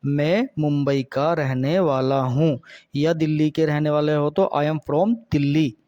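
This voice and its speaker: Male English tutor, slightly high voice, dry close recording, patient and repetitive delivery. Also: steady tone, warm tone, no echo, muffled recording. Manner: slow, deliberate, repeats each English sentence with a Hindi translation. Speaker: English teacher. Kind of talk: spoken English lesson, explaining in Hindi.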